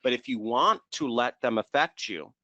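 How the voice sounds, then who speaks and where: A man hosting a live webinar Q&A, answering students in a brisk, assertive way and cutting in to wrap things up. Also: mid-range voice, animated, bright, forceful tone, dry recording, muffled recording. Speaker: course host answering student questions.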